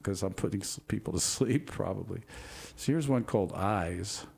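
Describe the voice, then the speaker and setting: A man hosting a show, reading out corny jokes with a casual, jokey manner, laughing at his own puns while losing his voice and clearing his throat. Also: fairly low pitch, normal speed, darkish tone, a little hiss or hum. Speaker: show host.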